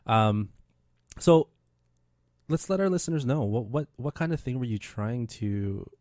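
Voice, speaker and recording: A man's voice: medium pitch, animated, unhurried, darkish tone, no echo. A man in his thirties hosting a community radio show in a studio, talking casually with many fillers and restarts. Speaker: radio host.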